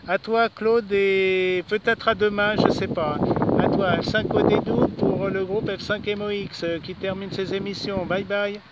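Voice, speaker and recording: Higher-voiced man on lo-fi radio contact. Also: flat delivery, normal speed. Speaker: amateur radio operator. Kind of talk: casual radio contact chat. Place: outdoors in a park. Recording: band-limited outdoor radio recording, faint noise.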